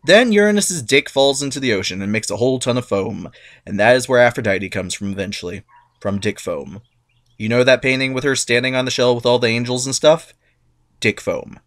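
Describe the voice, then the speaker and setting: A man's voice: mid-range voice, a little fast, fairly bright tone. A man giving a comic dramatic reading of myths, sarcastic and irreverent. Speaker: narrator.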